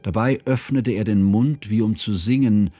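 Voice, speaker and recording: An actor playing a sober, bookish friend who narrates a literary radio drama in a measured, formal, precise manner. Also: male voice, on the low side, dark tone, no room sound, soft background music, natural voice. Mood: grave, subdued.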